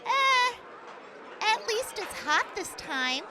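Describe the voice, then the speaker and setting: A woman's voice: extremely high pitch, big pitch swings, slow pace, exaggerated voice. A woman playing a dry-witted, sardonic member of a wasteland crew in a radio drama. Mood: disgusted. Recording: clear background ambience noise.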